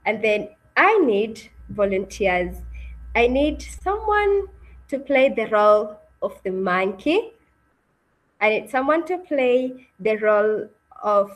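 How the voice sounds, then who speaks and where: A woman with a fairly high voice and a very unhurried pace hosts a read-aloud over a video call. She is encouraging and moves between guiding the group and narrating a children's story. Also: rough recording. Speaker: webinar host and story narrator. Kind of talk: interactive read-aloud session, hosting and narrating.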